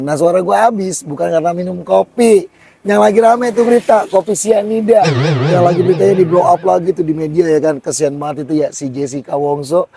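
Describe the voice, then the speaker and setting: A hoarse man, excitedly vlogging about street food outdoors at a stall and calling his viewers "guys". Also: on the high side. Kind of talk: casual street-food vlog.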